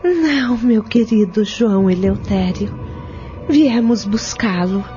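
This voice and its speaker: An actress playing a loving, serene spirit wife in a radio drama, solemn and tender in manner. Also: female voice, mid-range voice, lively intonation, slow pace, dark tone. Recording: dull lo-fi radio recording with music.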